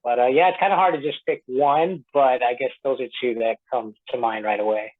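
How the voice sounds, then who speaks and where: A man's voice: mid-range voice, steady tone, a little fast. A man from Florida, an interview guest, giving casual conversational answers over a narrow phone-like connection. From Tampa Bay, Florida, United States; born in Puerto Rico. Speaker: actor being interviewed. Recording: tinny narrow-band phone line.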